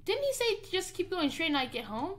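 A woman's voice: very high voice, very animated, fairly quick, slight background noise. A woman hosting a gaming video with playful, giggly and enthusiastic commentary. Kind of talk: playful game commentary and roleplay.

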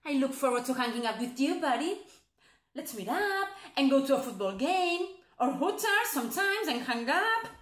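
High-voiced heartbroken young woman, played by an actress, echoey room. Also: very animated, normal speed. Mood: forced cheer. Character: heartbroken young woman being dumped, feigning breezy indifference. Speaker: actress performing a monologue. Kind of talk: theatrical dramatic monologue. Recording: echoey, roomy sound.

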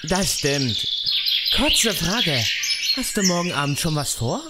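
Awkward schoolboy, swooping lowish pitch, clumsy, audio drama, loud background noise. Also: female voice, sing-song, slow pace, exaggerated voice. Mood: shy, hopeful. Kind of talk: German children's audio drama acting.

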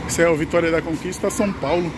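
A man's voice: fairly even intonation, fast. A Brazilian man giving casual travel vlog commentary, pointing out buses as they come and go, recorded on the spot. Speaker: bus travel vlogger. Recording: noisy outdoor handheld recording with vehicle rumble.

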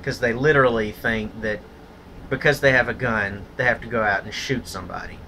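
A man with a fairly low voice and a hard, forceful tone speaks in a solo rant. He is blunt and contemptuous and swears freely. A faint background noise sits under the recording. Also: fairly quick. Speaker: vlogger. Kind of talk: opinionated rant monologue.

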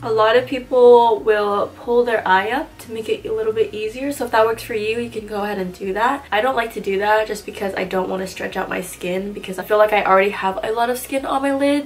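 Young woman vlogger, a bit nasal from a cold, casual slangy chatter, talking close to the camera in a small room. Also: mid-range voice. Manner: chatty, slangy and self-teasing. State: stuffy nose from a cold. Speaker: beauty vlogger. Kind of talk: casual makeup tutorial chat.

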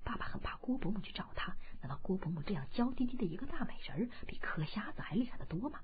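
A woman's voice: medium pitch, very animated, fast talker, phone-quality sound, voice pushed down, lightly acted. A woman doing a sly boy's whispered thought. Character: sly teenage boy, thinking secretly.

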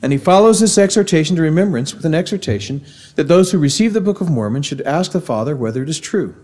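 A middle-aged man with a Missouri accent giving a formal devotional address at a university, in a measured, earnest lecturing manner, at a podium microphone in a large auditorium. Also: fairly high pitch, expressive, mellow. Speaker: university speaker.

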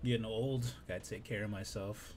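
A man in his thirties with a hoarse, raspy voice, chatting in a laid-back, unhurried way while streaming a game. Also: on the low side, steady tone, a little mellow, slight background noise. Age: 30s. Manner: laid-back, unhurried, drifting between game remarks and stories. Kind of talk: casual live-stream chat while gaming.

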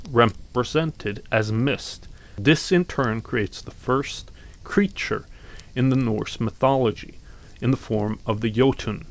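Slow, gravelly-voiced Norwegian man. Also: medium pitch. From Norway. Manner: slow and deliberate, with pauses and some fillers. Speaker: podcast host. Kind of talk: reflective commentary on mythology.

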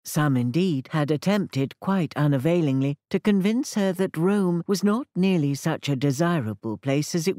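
English woman narrating, soft mellow voice. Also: deep voice, no room sound, studio quality. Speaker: audiobook narrator. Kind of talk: scripted literary narration.

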